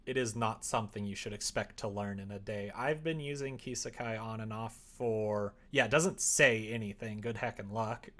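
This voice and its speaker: A man's voice: lowish voice, moderate pace, few pauses, a bit bright. A man chatting casually as a streamer, rambling and thinking aloud while he works through a tutorial. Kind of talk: casual livestream chat and tutorial while working. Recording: clear background noise, keyboard typing.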